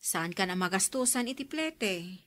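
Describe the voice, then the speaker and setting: A woman playing a caring, practical elder sister and daughter in a radio drama, gently persuasive. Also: medium pitch, lively intonation, moderate pace, natural voice.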